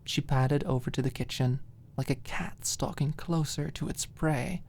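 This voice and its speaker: A woman narrating scripted fiction as an ASMR audiobook, with expressive, dramatic storytelling. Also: a bass voice, unhurried, slight background noise.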